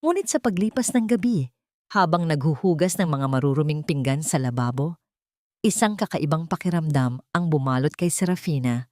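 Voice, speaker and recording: Female narrator for scripted dramatic fiction, lower register, close dry sound. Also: a little mellow, dry recording. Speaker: storyteller.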